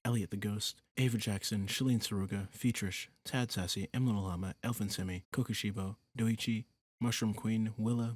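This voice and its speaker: A man with a slightly low, husky voice reads a scripted outro as an audio creator. He goes through thanks and names in a very dry recording. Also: no echo.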